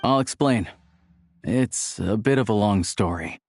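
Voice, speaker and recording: An actor playing a level-headed, earnest young man in a video game, explaining things in a measured way. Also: lowish voice, a little hiss or hum. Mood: calm.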